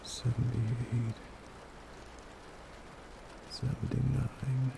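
A man speaking in a hushed, soothing, unhurried ASMR style as a sleep aid. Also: mid-range voice, vocal fry. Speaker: ASMR roleplay performer. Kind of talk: ASMR, soft slow counting as a sleep aid. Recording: steady rain-like noise.

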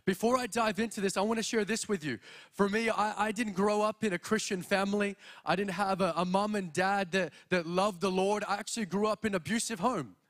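A young man from Australia with an Australian accent, preaching an energetic sermon as a guest speaker from a church stage into a handheld mic. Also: high-pitched, brisk. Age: young adult. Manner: energetic, exhorting, walks the stage.

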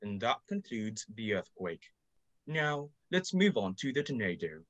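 A student voicing a calm, formal documentary narrator in a school radio drama. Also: mid-range voice, a little slow, no echo, lo-fi sound, pitched below the performer's own voice.

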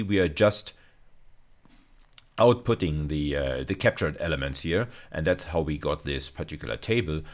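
A middle-aged man giving an explanatory lecture, methodically rephrasing and repeating his key points. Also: low voice. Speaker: university lecturer. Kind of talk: explanatory lecture over slides.

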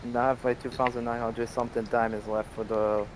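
A man's voice: mid-range voice, little pitch movement, brisk. A man hosting his gaming channel, chatting casually with friends while playing and often restarting his sentences. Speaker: channel host and gamer. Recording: noisy voice chat line.

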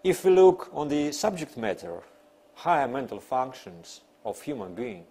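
A man in his fifties, a non-native English speaker, giving an academic lecture in deliberate, emphatic phrases with pauses. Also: medium pitch, sing-song, warm tone, breathy edge. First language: not English. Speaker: university lecturer. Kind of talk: academic lecture, partly read from notes. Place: small classroom, seated at a desk.

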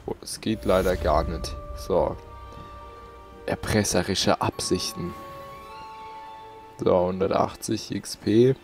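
A man's voice: sits low, fairly even intonation, takes it slowly, vocal fry, long pauses. A man giving casual gameplay commentary. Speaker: let's player. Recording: game music faintly behind. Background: faint video game music.

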